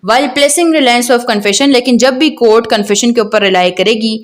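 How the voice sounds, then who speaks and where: High-pitched Pakistani woman lecturing on law. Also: moderate pace. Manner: didactic, repeats points and checks understanding with questions. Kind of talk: explanatory lecture, reading case law and paraphrasing it.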